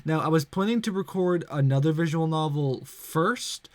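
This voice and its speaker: A man's voice: on the high side, normal speed, dry recording. A man hosting a casual let's play, chatting with playful asides and reading visual novel text aloud. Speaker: YouTube let's play host. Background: game music.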